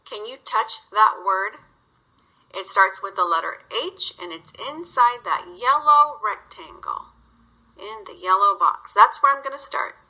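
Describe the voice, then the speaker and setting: A woman's voice: medium pitch, lively intonation, measured pace, pushed and bright, highs cut off. A woman reading a story aloud to young children, guiding them with simple questions.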